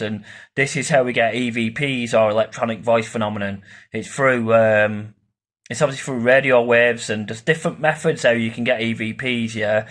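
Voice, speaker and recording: A man presenting a video as a paranormal investigator, explaining informally in a rambling way with frequent tag words. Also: medium pitch. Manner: rambling, repeats "yeah" and "obviously" as tags.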